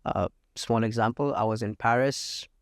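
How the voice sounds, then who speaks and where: A man from India, a real estate agent talking as a podcast guest, confident and matter-of-fact with frequent pauses. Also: mid-range voice, fairly even intonation, no echo, clean studio sound. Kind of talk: casual interview talk. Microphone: podcast studio mic.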